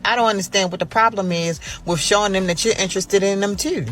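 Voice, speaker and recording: Sassy woman preaching to her followers. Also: on the low side. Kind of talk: social media video.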